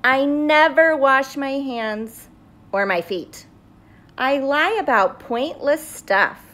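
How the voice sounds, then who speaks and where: Storybook seed, small, grumpy and defiant, played by a woman, highish pitch, emphatic drawn-out words. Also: lively intonation, fairly bright tone, full character voice. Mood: brazen confessing. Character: grumpy, defiant little sunflower seed confessing badness.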